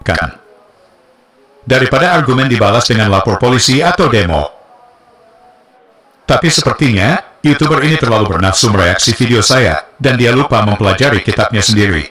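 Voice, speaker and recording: A man's voice: mid-range voice, lively intonation, moderate pace, long pauses, a bit bright, husky. A man delivering online commentary.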